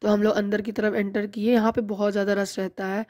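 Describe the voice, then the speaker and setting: A woman's voice: mid-range voice, little pitch movement, a little fast, a little mellow, no room sound, studio quality. Woman chatting through a vlog voice-over. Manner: chatty, explains prices and bargaining. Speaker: vlogger. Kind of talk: casual vlog voice-over narration.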